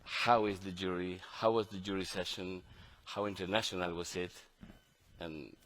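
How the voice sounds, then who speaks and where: Argentine male moderator, native Spanish speaker, deep voice, halting and searching delivery. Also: steady tone, fairly bright tone, poor audio. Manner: hesitant, halting phrasing. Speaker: panel moderator. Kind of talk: live panel moderation.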